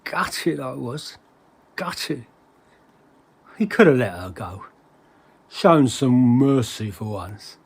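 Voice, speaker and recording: An actor playing an agitated, paranoid English henchman in a dramatic monologue, husky, wordy and slangy, with theatrical repetitions. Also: medium pitch, expressive, slow pace, pauses often, darkish tone, full character voice. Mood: bitter, rueful. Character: agitated paranoid henchman ranting about a superspy, colourful slangy storyteller.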